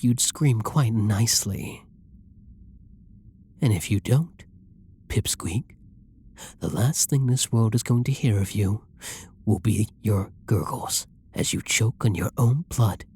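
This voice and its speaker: A man performs as a masked male villain in an intimate ASMR audio drama. His voice is dark and breathy, often close to a whisper, and he speaks very slowly. He taunts and orders his hostage around with flirty, condescending menace. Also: lowish voice, lively intonation, exaggerated voice. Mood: sinister, condescending.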